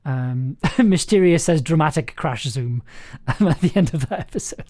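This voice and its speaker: A man's voice: on the high side, expressive, moderate pace, mellow. A man hosting a livestream as writer and director, giving casual, encouraging feedback in a hesitant, rambling way. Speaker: host, writer-director of audio drama.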